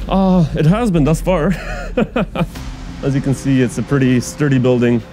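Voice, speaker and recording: A man's voice: medium pitch, animated, measured pace, warm tone, soft background music. A man with a Canadian accent, a volunteer soldier being interviewed in an underground bunker, matter-of-fact. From Ukraine, raised in Canada. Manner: matter-of-fact, restarts often. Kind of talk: field interview.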